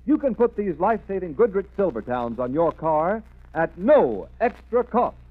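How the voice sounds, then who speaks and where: A man's voice: high-pitched, sing-song, normal speed. A man announcing and reading advertising in an emphatic, salesmanlike 1930s radio style. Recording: tinny, narrow 1930s radio recording.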